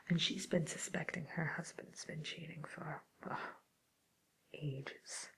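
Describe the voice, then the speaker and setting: Lady doing a whispery witch for asmr roleplay, super breathy n crackly, gossipy, kinda menacing but soft and hesitant. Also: deep voice, exaggerated voice. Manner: hesitant, musing, softly sly. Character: mildly menacing, nervous, gossipy witch catching a burglar.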